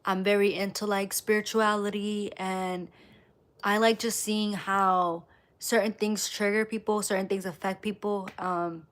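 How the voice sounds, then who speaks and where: Female live streamer, medium-pitched, casual motivational delivery, emphatic with repeated phrases. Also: level tone, a little slow. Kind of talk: casual motivational livestream talk to viewers.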